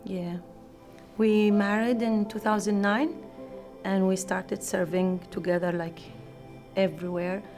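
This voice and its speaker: A woman's voice: mid-range voice, little pitch movement, measured pace, darkish tone, soft background music. A woman from Iraq with an Iraqi accent, giving personal testimony in simple, informal phrasing.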